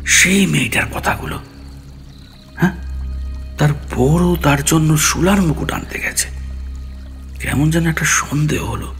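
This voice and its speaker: A man's voice: fairly high pitch, creaky. A man narrating a ghost story in the first person, dramatically, acting out his own dialogue.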